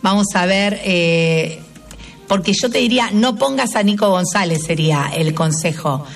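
An older woman with a Rioplatense accent, a tarot and astrology host telling stories in a chatty, animated way and laughing often. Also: fairly low pitch, normal speed, far from the mic, soft background music. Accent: Rioplatense Spanish. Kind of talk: casual chat.

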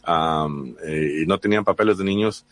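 A reporter with a Mexican accent answers questions in a fairly low voice, halting often with fillers and restarting his words. The recording is dry and close, with no room sound. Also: dry recording. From United States. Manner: hesitant, many restarts and fillers. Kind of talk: interview answers.

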